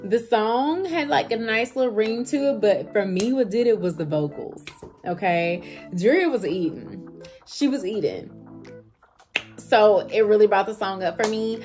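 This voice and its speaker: A woman's voice: a little high, animated, a little slow, a little mellow. Slangy woman over background music. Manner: slangy, informal, emphatic repetition. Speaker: reaction video host. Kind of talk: casual music reaction chat.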